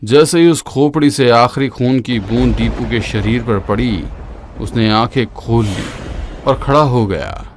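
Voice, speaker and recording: A man narrating a horror story in a steady, dramatic way, dropping lower at the grim moments. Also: unhurried, noisy background. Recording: narration over loud background music.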